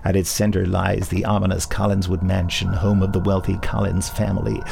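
A man's voice: sits low, level tone, normal speed, creaky, a little mellow. Chatty man hosting over faint music. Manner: chatty, laughing with co-host. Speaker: podcast co-host. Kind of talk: casual chat and reading announcements.

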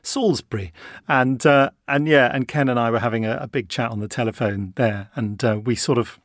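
Male host, mid-pitched, chatty and rambling, dry studio sound. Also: fairly even intonation, a little fast, no echo. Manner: chatty, rambling with many restarts. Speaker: podcast host. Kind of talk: casual studio chat. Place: recording studio.

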